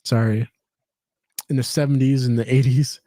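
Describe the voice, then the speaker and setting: A man's voice: medium pitch, level tone, moderate pace, warm tone, no room sound. A man hosting a casual podcast chat, rambling with lots of fillers and restarts. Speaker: podcast host.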